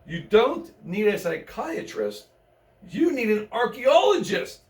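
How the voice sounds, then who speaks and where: A man's voice: high voice, very animated, takes it slowly, heavily acted. A man voicing a bossy little girl who gives blunt advice in a children's storybook. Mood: blunt, scolding. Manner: blunt, advising. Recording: roomy, echoey, distant microphone.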